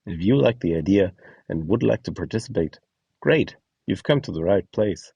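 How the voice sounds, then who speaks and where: Deep, mellow male explainer. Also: animated, moderate pace, creaky, husky, no room sound, recorded from a distance, highs cut off. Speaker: host and instructor of a voice donation project. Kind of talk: scripted explainer narration.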